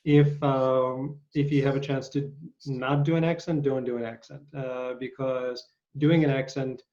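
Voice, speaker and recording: A man's voice: medium pitch, steady tone, a little slow, warm tone, muffled recording. A man hosting an interview, a native Russian speaker, talking thoughtfully and searching for words. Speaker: podcast host. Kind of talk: casual interview chat.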